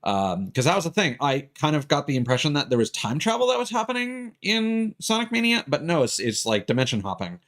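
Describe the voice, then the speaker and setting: A man giving casual let's-play commentary as a streamer, talking in a rambling, halting way. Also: a little high, wildly swooping pitch, a bit bright. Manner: rambling, hesitant, halting delivery; casual swearing. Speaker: game streamer, host. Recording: game music behind the voice. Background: video game music.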